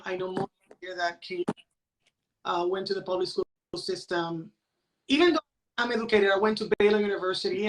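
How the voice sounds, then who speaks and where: A woman's voice: medium pitch, low-quality recording. Lady, late 40s, casual podcast guest explaining stuff, says right? a lot. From San Juan, Puerto Rico. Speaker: podcast guest, crypto educator. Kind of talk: casual conversational interview.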